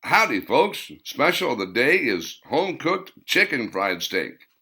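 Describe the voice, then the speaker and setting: A man's voice: medium pitch, very animated, unhurried, sharp tone, slightly rough, voice pushed up, full character voice. A man voicing a friendly woman who runs a small roadside cafe, greeting customers. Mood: welcoming. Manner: cheerful, welcoming.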